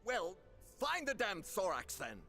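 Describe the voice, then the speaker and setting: An excitable lord from a fantasy video game. His voice is high and forceful, with wildly swooping intonation. He argues with indignant bluster. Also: unhurried, vocal fry, soft background music, heavily acted. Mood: agitated, indignant.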